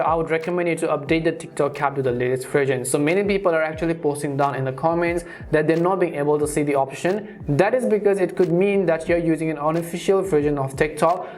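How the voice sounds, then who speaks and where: A man's voice: on the high side, a little mellow. A man hosting a tutorial channel, narrating instructions step by step in a scripted how-to style.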